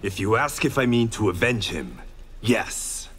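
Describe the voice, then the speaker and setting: An actor playing a calm, resolute young blind swordsman in a fighting game, composed and firm. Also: fairly low pitch, a little slow, a little hiss or hum.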